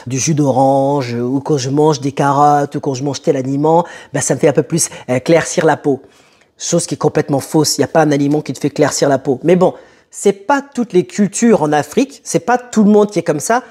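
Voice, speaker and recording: A man's voice: on the high side, dark tone. Young man telling his story, conversational and emphatic. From born in Italy, moved to France as a child. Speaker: motivational video host. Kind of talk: personal storytelling to camera.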